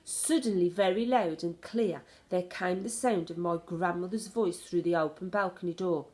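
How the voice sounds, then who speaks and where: A woman reading a children's story aloud in an expressive narrating style. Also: fairly low pitch, moderate pace. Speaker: storybook reader.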